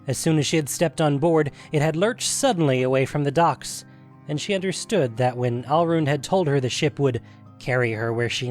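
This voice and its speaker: A man's voice: fairly high pitch, darkish tone. A man narrating a scripted fantasy story as the reader of a story podcast. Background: music.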